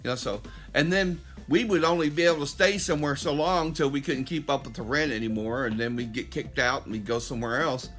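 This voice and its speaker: A man's voice: medium pitch, a little fast, a bit bright, soft background music. A middle-aged man hosts a podcast, delivering an impassioned, preachy monologue straight at his listeners with frequent restarts. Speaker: podcast host. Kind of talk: opinionated monologue.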